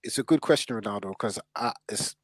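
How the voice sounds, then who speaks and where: A man's voice: lowish voice, fairly quick, dry recording. A man with a London accent debating football casually and emphatically, repeating phrases to drive his points home. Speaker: football podcast host.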